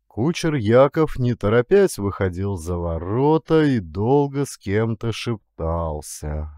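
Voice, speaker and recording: A man's voice: mid-range voice, a little mellow, no room sound, clean studio sound. A middle-aged man narrating a literary story expressively as an audiobook actor. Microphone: close studio condenser mic with pop filter.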